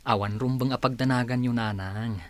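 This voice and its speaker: A man's voice: mid-range voice, steady tone, normal speed, dry recording, natural voice. A man with a northern Philippine accent acting a reassuring, matter-of-fact grown son in a village radio drama.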